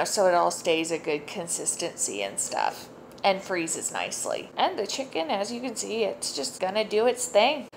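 Woman home cook, thirties, casual upbeat tutorial narration with asides; slight background noise. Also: lowish voice. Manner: chatty, upbeat, lots of asides and fillers. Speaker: home cooking vlogger. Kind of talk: casual cooking tutorial voice-over. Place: home kitchen.

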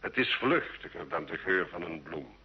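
An actor playing a sly, learned medieval alchemist in an old radio drama, measured and courtly, with a sardonic edge. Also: male voice, low-pitched, animated, breathy edge, lo-fi sound, full character voice. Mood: mystical, measured. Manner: slow, measured, deferential yet sardonic.

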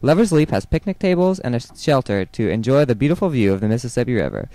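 A man's voice: medium pitch, big pitch swings, fast, mellow, no echo. A man reading scripted voice-over narration in an informative, read-aloud way.

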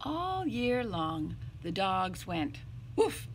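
Highly animated woman reading to children. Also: drawn-out words, slight background noise. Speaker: storyteller reading a picture book to children. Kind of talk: expressive read-aloud for young children. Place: small indoor room with bookshelves.

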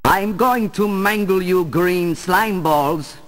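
An actor voicing a snarling, threatening villain in an arcade game, taunting the heroes. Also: male voice, sits high, slow, fairly bright tone. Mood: menacing.

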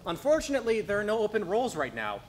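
A man's voice: high-pitched, huge pitch swings, fast, a little hiss or hum. A young man acting on a school stage as an apologetic, evasive older radio producer who speaks hesitantly. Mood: resigned. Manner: hesitant, stammering.

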